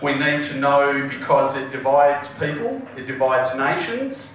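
A man hosting a live panel, jovial and loud, often restarting his sentences. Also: medium pitch, a little slow. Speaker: panel host. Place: large event hall with audience. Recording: echoey hall, distant mic, crowd noise.

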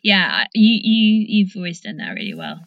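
Female podcast host from the English Midlands, mid pitch, conversational and searching. Slightly dull, dry recording. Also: wildly swooping pitch, normal speed, dry recording. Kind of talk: casual conversational interviewing.

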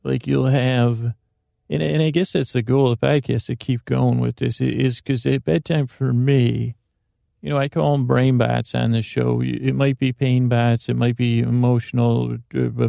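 Gravelly, mellow-voiced sleep podcast host. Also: male voice, mid-range voice, level tone, dry recording, clean studio sound. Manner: slow, meandering, self-interrupting. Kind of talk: rambling bedtime monologue.

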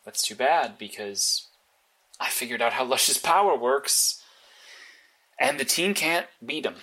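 A man's voice: mid-range voice, animated, unhurried, sharp tone, slightly rough, full character voice. A man acting as a matter-of-fact, analytical vigilante in an audio drama, clipped and insistent. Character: blunt, analytical, sleepless male vigilante mastermind. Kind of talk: naturalistic audio drama dialogue.

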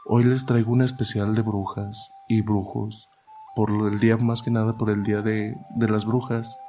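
A man's voice: fairly low pitch, level tone, moderate pace, mellow, soft background music. A man hosting and narrating a show of listeners' stories, reading them aloud in a storytelling style.

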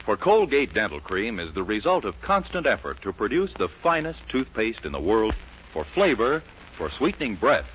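A man's voice: lowish voice, very animated, normal speed, a bit bright, a little hiss or hum. An American man announcing a 1940s radio show in a polished, emphatic style. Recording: dull vintage 1940s radio recording, music behind.

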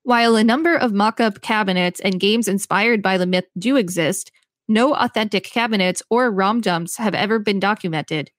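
A woman's voice: mid-range voice, moderate pace, no echo, clean studio sound. A woman reading an encyclopedia article aloud as a podcast co-host, in scripted narration with brief casual remarks. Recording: faint music behind the voice. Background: faint music.

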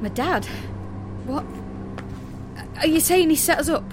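A woman's voice: high-pitched, expressive, measured pace, noisy background. An actress playing a wary, sardonic young woman on the run in an audio drama, with a dry, questioning manner. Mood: alarmed. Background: music.

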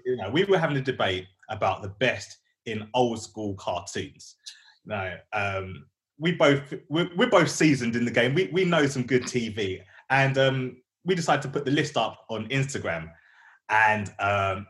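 A man's voice: mid-range voice, animated, measured pace, fairly bright tone. A man co-hosting a podcast in casual, slangy banter. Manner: slangy, chatty. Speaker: podcast co-host.

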